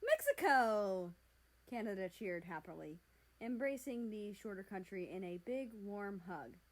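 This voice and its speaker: A woman reading fan fiction aloud as an expressive narrator. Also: medium pitch, moderate pace.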